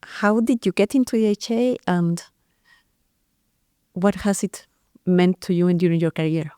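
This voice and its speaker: A woman's voice: on the low side, a little slow, warm tone, smooth, no echo, clean studio sound. A woman interviewing a guest, listening closely and murmuring frequent backchannels.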